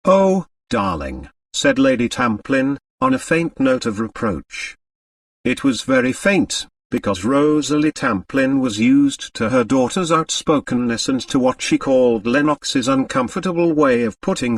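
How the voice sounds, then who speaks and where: Expressive male audiobook narrator, lively pitch. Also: a little slow, gravelly, no echo, distant mic. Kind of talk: expressive scripted novel narration.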